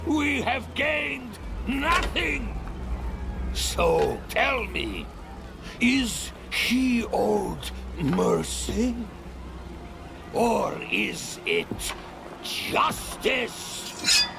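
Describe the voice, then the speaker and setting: A wrathful zealot preaches merciless justice in a fantasy game. His high, gravelly voice declaims very slowly over swelling music. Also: huge pitch swings, heavily acted. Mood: stern, accusing. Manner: declamatory, slow and thunderous. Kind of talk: dramatic fantasy game acting, oratory. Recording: dramatic music behind the voice.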